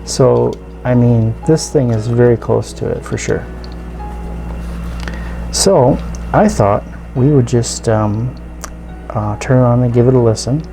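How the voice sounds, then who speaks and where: A man gives a casual hands-on demonstration in a creaky, medium-pitched voice. He explains things step by step, informally and haltingly, with music clearly audible behind him. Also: dark tone, low-quality recording. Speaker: radio reviewer and video host.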